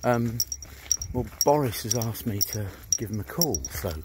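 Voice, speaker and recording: A man's voice: mid-range voice, unhurried. A man, doing a brash, sweary, mocking one-sided prank phone call outdoors, filmed on a phone held at arm's length. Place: outdoors among trees. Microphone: phone held at arm's length, headphones on. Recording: noisy outdoor phone recording.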